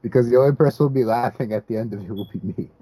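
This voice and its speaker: A man's voice: mid-range voice, racing, warm tone, breathy edge, rough recording. A man co-hosting a casual podcast, talking hesitantly with many restarts and repeated words. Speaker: podcast co-host.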